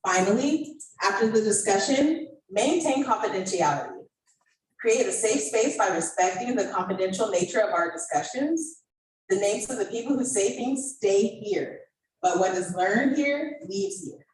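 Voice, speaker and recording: A woman co-facilitating an event, presenting discussion guidelines to a group in a measured, deliberate way. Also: medium pitch, animated. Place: indoor event room with online participants. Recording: echoey room, distant mic, faint noise.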